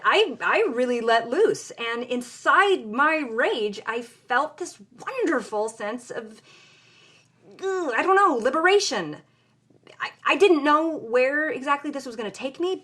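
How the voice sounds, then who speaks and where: A woman's voice: sits high, lively intonation, measured pace, pushed and bright, exaggerated voice. An actress playing a headstrong, impulsive young woman, speaking candidly and expressively in a podcast-style audio drama. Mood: indignant, exhilarated. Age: young adult.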